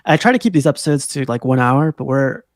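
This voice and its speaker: A man's voice: mid-range voice, lively intonation, fast talker, no room sound, clean studio sound. A man hosting a casual livestream chat over a video call, rambling and laughing often. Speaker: podcast host.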